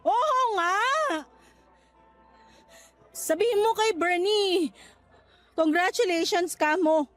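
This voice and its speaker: A woman's voice: extremely high pitch, takes it slowly, long pauses. An actress playing a weary, curt young mother in a Tagalog radio drama, exasperated with her partner. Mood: hurt. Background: music bed.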